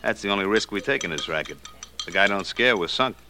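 Brash, slangy radio-drama loan shark. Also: male voice, lowish voice, animated, fast, pushed and bright, heavily acted. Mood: cagey, cocky. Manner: streetwise ungrammatical slang. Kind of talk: 1940s radio detective drama acting. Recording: old radio recording with faint noise.

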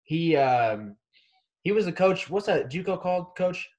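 A man's voice: sits high, measured pace, dull audio. A young man from Kansas City, a college wrestler, chatting casually in an interview with lots of fillers and restarts. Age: young adult. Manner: relaxed, rambling with many fillers.

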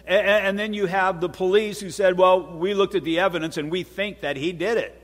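A man's voice: high voice, steady tone, a little fast, fairly bright tone. A man preaching a sermon, repeating words and acting out voices as he tells a story. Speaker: pastor. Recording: long hall reverb.

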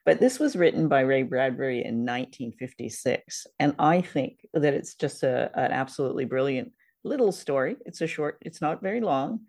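A woman's voice: very deep voice, lively intonation, moderate pace, darkish tone. A woman podcast host casually discussing old radio drama.

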